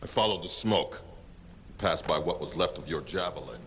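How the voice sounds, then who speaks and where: An actor playing a stern, curt military commander with an authoritative manner in a video game. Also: male voice, very deep voice, expressive, normal speed, a bit bright, a little hiss or hum, exaggerated voice. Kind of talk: video game character dialogue.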